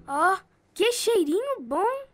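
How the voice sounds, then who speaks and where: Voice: very high-pitched, big pitch swings, slow, fairly bright tone, no echo, clean studio sound, full character voice. An actor playing a spirited, resentful eleven-year-old farm boy in an earnest radio drama style. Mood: eager.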